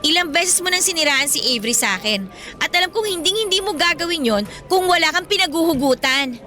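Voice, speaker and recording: A woman's voice: extremely high pitch, animated, fairly quick, sharp tone, heavily acted. A woman acting a defiant, outspoken young girlfriend in a radio drama, with a Filipino accent and an assertive, emphatic delivery. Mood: accusing. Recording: music bed behind the voice.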